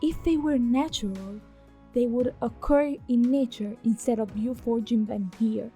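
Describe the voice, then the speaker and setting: A woman's voice: on the high side, a little slow, dark tone, soft background music, natural voice. A woman playing a haughty, easily offended goddess of natural life in a fantasy audio drama, formal and accusatory.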